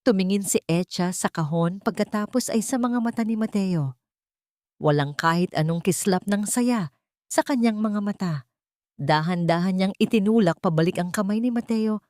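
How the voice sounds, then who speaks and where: A woman's voice: mid-range voice, normal speed, darkish tone, dry recording, studio quality. A woman reading scripted dramatic narration as a storyteller.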